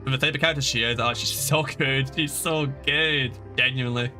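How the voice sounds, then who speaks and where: A man's voice: mid-range voice, fairly even intonation, a little fast, over music. A man giving casual, opinionated live-stream commentary on a game, explaining things to his viewers as he plays.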